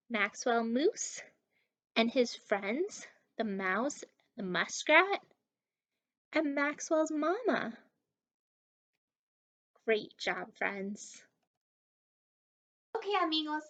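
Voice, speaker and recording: A woman leading story time and a lesson for young children, encouraging and exaggerated, pausing as if for their answers. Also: high-pitched, sing-song, a bit bright. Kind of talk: read-aloud and interactive lesson for young children. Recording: dull, distant microphone sound.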